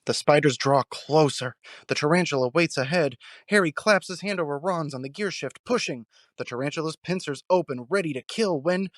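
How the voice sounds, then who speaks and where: A man delivering dramatic scripted narration with suspenseful, deliberate phrasing. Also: a little high, a bit bright, no room sound, studio quality.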